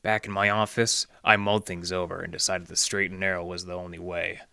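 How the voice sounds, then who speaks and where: Deep-voiced, gravelly hardboiled detective. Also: male voice, a little fast, no room sound, heavily acted. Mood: tense, wry narration. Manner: deadpan, sardonic, slangy. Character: wry, tough male library detective narrating his case. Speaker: actor, lead and narrator. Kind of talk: hardboiled 1940s detective radio acting.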